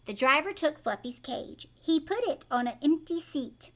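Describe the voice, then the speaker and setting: High-pitched, animated woman reading to children. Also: moderate pace. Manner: expressive, asks the listener questions. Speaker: teacher reading a storybook aloud to children. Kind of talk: read-aloud storytelling with asides to young listeners.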